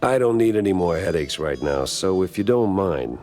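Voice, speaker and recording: An actor playing a weary, wisecracking hardboiled mouse detective in a noir video game, deadpan and world-weary. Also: male voice, low voice, normal speed, soft background music. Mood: weary, dismissive.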